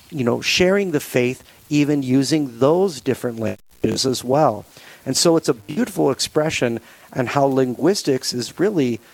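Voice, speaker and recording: A man's voice: medium pitch, a little mellow, no room sound, rough recording. Thoughtful man hosting a faith radio show. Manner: measured, thoughtful, frequent fillers. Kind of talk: conversational radio interview.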